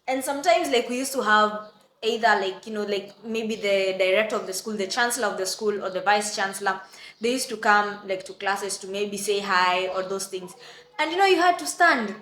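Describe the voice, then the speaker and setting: Lively Kenyan woman, bright and echoey. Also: mid-range voice. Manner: animated, exclaiming, acting out quotes. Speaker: vlogger. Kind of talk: casual storytime.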